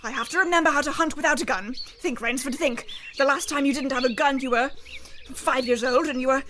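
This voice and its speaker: Casting: woman as crafty but jittery huntress, high voice, rambling comic delivery, slightly noisy recording. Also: a little fast, close to an everyday voice. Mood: frantic. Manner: talks herself through predicaments, rambling. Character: wily, panicky huntress. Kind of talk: scripted radio-play comedy acting.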